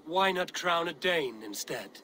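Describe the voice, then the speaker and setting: An actor playing a confident, blunt Viking clan warrior with a dry, challenging manner in a game cutscene. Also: male voice, on the high side, lively intonation, unhurried, bright, forceful tone, a little hiss or hum. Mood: curious, resolute. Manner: dry, challenging, self-assured. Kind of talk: video game cutscene acting.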